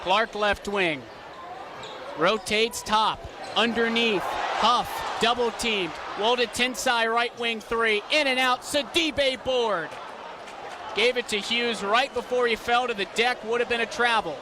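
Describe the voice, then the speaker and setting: A young American man doing sports broadcast commentary in clipped, energetic phrases, as an announcer and host. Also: extremely high pitch, slow, noisy background. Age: young adult.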